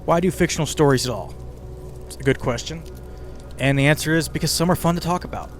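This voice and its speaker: A man's voice: fairly high pitch, fast talker. A man hosting a podcast with conversational scripted narration, slipping in casual asides to the listener. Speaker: podcast host and writer. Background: ambient music bed with crackling fire sounds.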